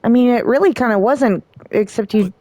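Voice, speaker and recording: A woman's voice: on the high side, moderate pace, mellow, no room sound. A woman streamer giving casual, chatty reaction commentary over gameplay, interjecting sympathetically.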